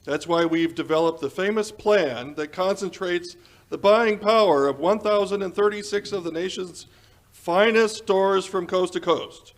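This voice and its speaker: A man's voice: high voice, a little slow. A man announcing in the style of a 1940s radio sponsor spot, with polished, salesmanlike delivery. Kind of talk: 1940s radio advertisement and narration.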